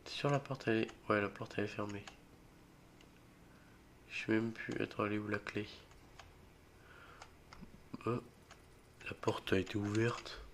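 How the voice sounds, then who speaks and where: A young man with a cold, voice a bit rough, joking and getting spooked as he plays a horror game for his channel. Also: lowish voice, little pitch movement, measured pace, long pauses, a bit bright, slight background noise. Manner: jokey, easily spooked, mutters reactions and swears. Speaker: gaming video creator. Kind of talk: solo horror game let's play commentary.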